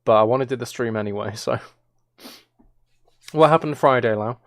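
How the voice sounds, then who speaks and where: A man talks through his game stream in a loose, self-deprecating way, chuckling as he goes. His voice sounds a little worn and hoarse, sitting at an ordinary middle pitch. The sound is dry and close to the mic. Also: moderate pace, no echo. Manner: chatty, self-deprecating, laughs often. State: slightly hoarse from recording. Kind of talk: casual live-stream chat while playing.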